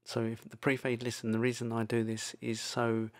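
A man presenting an informal software tutorial, speaking in a hesitant, loosely improvised way. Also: mid-range voice, completely flat delivery, normal speed. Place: indoors, screen-recording voice-over. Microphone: microphone into audio software.

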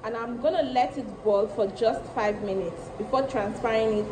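A woman's voice: on the high side, normal speed. A woman in her thirties, a cooking vlogger, chatting straight to viewers as she walks through a recipe at home. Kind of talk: casual cooking walkthrough. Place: home kitchen. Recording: echoey kitchen with background noise.

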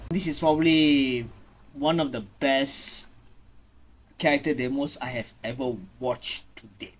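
A young adult man giving casual reaction commentary as a video host, halting and often repeating his phrases. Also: mid-range voice, rough recording. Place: small home room indoors. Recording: loud music under the voice. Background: loud music from watched game trailer.

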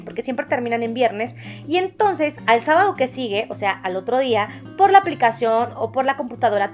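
High-voiced Mexican woman explaining enthusiastically over music. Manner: enthusiastic, chatty, addresses the listener directly. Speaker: network marketing recruiter and presenter. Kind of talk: casual explainer voice-over for drawn whiteboard video.

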